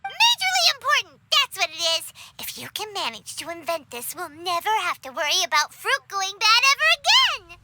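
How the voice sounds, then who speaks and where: A woman voicing a tiny, excitable, greedy and whiny childlike companion in an anime video game, squeaky and exclamatory. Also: normal speed, few pauses, heavy background noise, exaggerated voice. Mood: pleading, insistent. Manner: speaks of herself in third person, exclamatory. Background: music.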